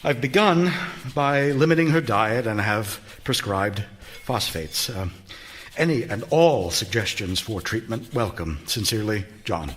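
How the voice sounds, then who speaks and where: An actor playing a stern, exasperated Victorian physician husband in a radio drama, formal and earnest. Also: male voice, lowish voice, expressive, unhurried, a bit bright. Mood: harried, worried. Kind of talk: theatrical radio drama acting.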